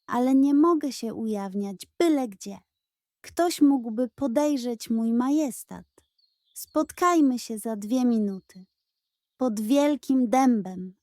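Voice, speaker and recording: A woman's voice: a little high, slow, warm tone, no echo, clean studio sound. Woman voicing a sly, pompous greedy male pug. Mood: secretive. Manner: grandiose, mock-solemn, scheming. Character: sly greedy male pug posing as a solemn chicken ghost. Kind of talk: children's audio fairy tale.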